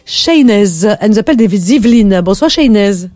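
A man with a high voice hosts a radio phone-in from a dry studio, speaking into a studio mic. He sounds chatty and keeps encouraging his callers. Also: lively intonation, normal speed, a little mellow, dry recording. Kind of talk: casual phone-in chat.

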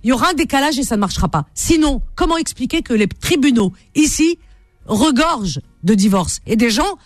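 A woman's voice: on the high side, animated, brisk, dry recording, clean studio sound, soft background music. A woman hosting a radio call-in show, lecturing listeners insistently and repeating her points.

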